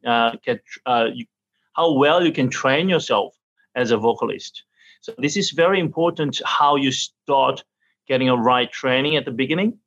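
Halting man, dull audio. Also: medium pitch, fairly bright tone. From South Korea. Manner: halting, searching for words in English, laughs often. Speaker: opera singer and vocal teacher. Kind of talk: interview answers.

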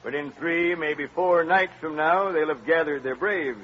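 Seasoned frontier trail boss, stern and commanding, high-set voice, tinge, muffled vintage radio sound. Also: male voice, unhurried, fairly bright tone. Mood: stern, grave. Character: gruff, seasoned, commanding wagon train guide. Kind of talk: old-time radio western acting. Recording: dull vintage radio recording, noisy background.

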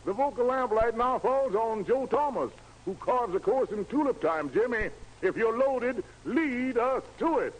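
A man's voice: very high voice, expressive, unhurried, low-quality recording. A man emceeing a 1940s radio music show in slangy, theatrical hepcat jive talk, on a vintage broadcast recording. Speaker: radio show host, master of ceremonies.

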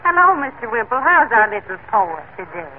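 An actress playing a patient, gently chiding wife with an American accent, polite and teasing, in 1940s radio comedy style. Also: female voice, on the high side, big pitch swings, a little fast. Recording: noisy, tinny old radio broadcast.

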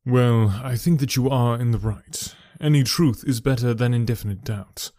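A man doing a calm, measured Victorian detective. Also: medium pitch, dry recording, clean studio sound, lightly acted. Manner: measured, composed.

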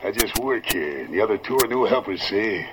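A man plays a petty crook in an old radio crime serial. He is sullen and defiant, with a fairly low, slangy voice under heavy recording noise. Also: expressive, fairly quick, close to an everyday voice. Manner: surly, slangy back-talk. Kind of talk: 1940s radio acting.

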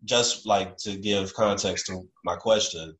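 Very low-voiced man, casual interviewer. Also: steady tone, fairly bright tone. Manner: halting, with frequent "like" fillers.

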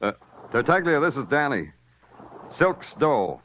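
An actor playing a tough, sardonic police detective in a 1940s radio crime drama. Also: male voice, mid-range voice, sing-song, measured pace, slight background noise. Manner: dry, sardonic, hard-boiled. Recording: dull lo-fi old radio recording.

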